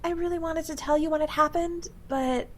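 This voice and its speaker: A woman's voice: high voice, fairly even intonation, a little fast, a bit bright. A woman playing an affectionate, slightly sheepish young housemate in a comedy audio drama, sweet and coaxing. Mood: fond.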